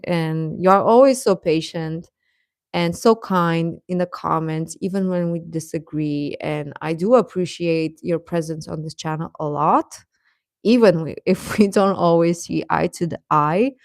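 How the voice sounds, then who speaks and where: A woman hosting a casual livestream, chatting to viewers in a gentle, encouraging, affectionate way. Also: fairly low pitch, measured pace, no room sound, clean studio sound. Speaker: livestream host.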